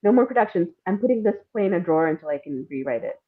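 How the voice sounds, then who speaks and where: Woman guest w/ lowish voice, tinny phone-like sound, chatting informally, kinda thinking out loud. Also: expressive, very fast. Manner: candid, informal, thinks aloud. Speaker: interview guest, playwright. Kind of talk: casual reflective interview talk. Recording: narrow, phone-like call audio.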